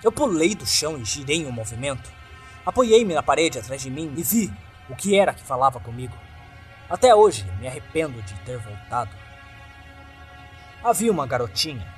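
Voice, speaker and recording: A man's voice: mid-range voice, very animated, normal speed, faint music behind. A man with a Brazilian accent reading a scripted first-person horror story as its narrator.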